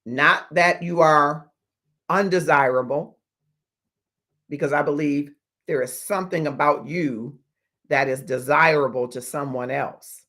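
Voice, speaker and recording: A man in his fifties hosting a motivational livestream as a life coach, speaking in deliberate, emphatic phrases with pauses. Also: on the high side, sharp tone. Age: 50s. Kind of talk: motivational livestream talk to viewers.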